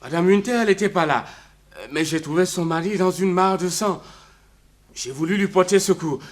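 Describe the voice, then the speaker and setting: A man's voice: high-pitched, normal speed, natural voice. A man playing a desperate, guilt-stricken religious thief, pleading and lamenting in a French radio drama. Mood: shaken confession.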